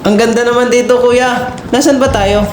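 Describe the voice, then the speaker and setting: A man's voice: very high-pitched, steady tone, normal speed. A man playing a brave, curious and respectful boy in an amateur Filipino radio drama. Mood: wondering. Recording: roomy sound with faint noise.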